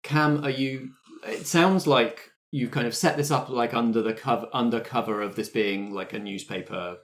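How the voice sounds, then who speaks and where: Mid-pitched man running a tabletop game. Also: steady tone, normal speed. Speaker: game master. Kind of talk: improvised tabletop scene-setting.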